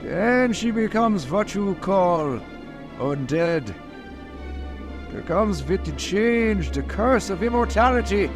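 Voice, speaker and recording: An actor playing a grave, insistent foreign professor who hunts vampires, speaking deliberately in vintage radio drama style. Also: male voice, high-pitched, animated, over music. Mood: urgent, solemn. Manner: deliberate, rhetorical questioning.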